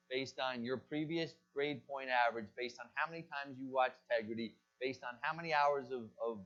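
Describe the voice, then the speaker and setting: A man's voice: medium pitch, a bit bright. A man teaching a pharmacy class, recorded in a classroom. He asks the students questions and then answers them himself. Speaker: pharmacy college lecturer. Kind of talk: classroom lecture. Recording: dull lo-fi lecture recording.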